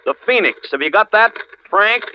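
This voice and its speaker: A jumpy lab researcher on ham radio in a 1940s thriller. The voice is high, boyish and slangy. It comes through a muffled old recording with clear background noise. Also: male voice, expressive, normal speed. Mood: guarded, strained.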